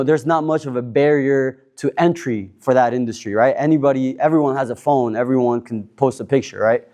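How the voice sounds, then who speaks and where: A man in his twenties from Cuba, introducing himself on a panel in a relaxed, rambling way with many fillers and restarts. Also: medium pitch. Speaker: panel speaker. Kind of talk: casual self-introduction at a panel.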